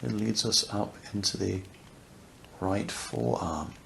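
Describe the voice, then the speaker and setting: A man leading a guided yoga nidra relaxation, speaking softly and soothingly, unhurried, with long pauses. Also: deep voice, vocal fry. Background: crackling fireplace ambience.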